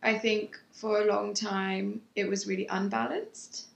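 A woman photographer, talking about her work in a halting, reflective way with frequent restarts. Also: mid-range voice, little pitch movement, gravelly, reverberant. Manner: halting, thinks aloud with many restarts. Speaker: photographer, interview guest. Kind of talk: reflective casual conversation. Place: home.

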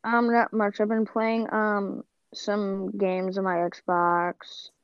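A young woman co-hosting a casual chat podcast, answering in a low-key, brief way. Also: flat delivery, slow pace, smooth, no room sound. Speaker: podcast co-host. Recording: dull, dry sound.

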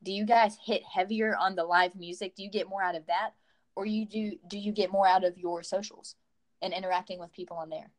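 A woman's voice: mid-range voice, steady tone, a little fast. A woman interviewing a band over a remote call, chatty and upbeat, with many fillers.

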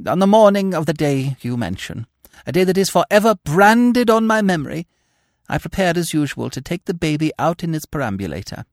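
Brief: male reader as a genteel spinster governess, high and fussy, swooping pitch. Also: big pitch swings, brisk, no room sound, clean studio sound. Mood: flustered. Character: flustered prim spinster governess, middle-aged woman.

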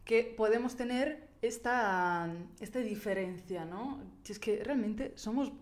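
A woman's voice: a little high, a little slow. Woman, hesitant and explanatory. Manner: thinks aloud, hesitant, with many fillers and restarts. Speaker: podcast co-host. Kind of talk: casual chat explaining research.

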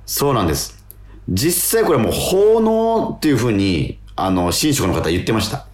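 A man's voice: lowish voice, very animated, moderate pace, echoey room, a crowd in the background. A man co-hosting a radio talk, telling stories in a polite, chatty way with repeated words and hesitations. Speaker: radio show co-host and stage actor.

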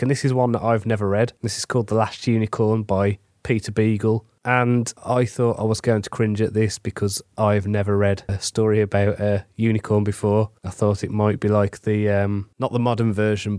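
Stuffy-nosed middle-aged guy from england with a cold, lowish voice, chatty and dry, no room sound. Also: darkish tone, no room sound. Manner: chatty, conversational, self-deprecating asides. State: congested from a cold. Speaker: fantasy author. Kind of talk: casual weekly diary update.

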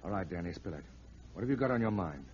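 A hard-boiled detective hero from 1940s radio, low and very husky. He is cool and commanding, with clipped slang and a wry edge. The old broadcast recording is muffled. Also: animated, quick pace, darkish tone. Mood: cool, probing.

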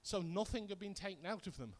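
Middle-aged British male preacher, high voice, conversational storyteller who repeats key points with emphasis. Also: animated, fast. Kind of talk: sermon with personal anecdotes.

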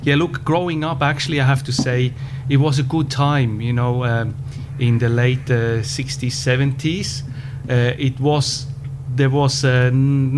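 A man of about fifty with a Swiss German accent, answering interview questions in a measured way with frequent pauses. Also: mid-range voice. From Switzerland. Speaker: podcast guest, business owner. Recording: background hum.

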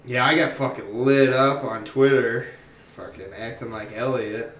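A man's voice: mid-range voice, measured pace, far from the mic. A man chatting casually about football, cursing often and telling stories with animation. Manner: profane, animated storytelling. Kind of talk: casual sports chat. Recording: echoey room, off-mic, faint noise.

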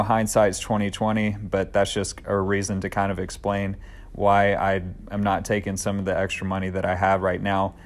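A man in his thirties hosting a solo podcast, talking in an earnest, upbeat, conversational way with occasional fillers. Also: fairly low pitch. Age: 30s. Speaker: podcast host.

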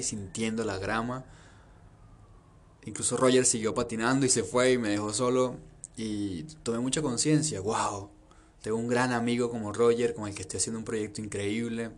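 Earnest, reflective man, lowish voice. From Venezuela. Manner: earnest, repeats phrases, lists in long runs. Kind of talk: reflective personal monologue.

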